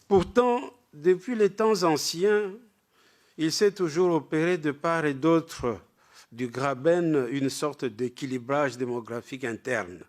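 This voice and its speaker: A male lecturer with a medium-pitched voice presents a prepared academic text. His delivery is formal and structured. He puts firm emphasis on the words that open his sentences.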